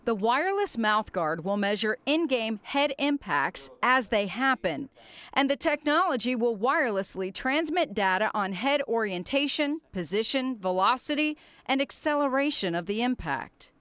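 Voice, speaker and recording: A woman's voice: fairly high pitch, very animated, moderate pace, a bit bright, clear, resonant tone. A woman with an American accent delivering scripted news narration as an investigative television reporter.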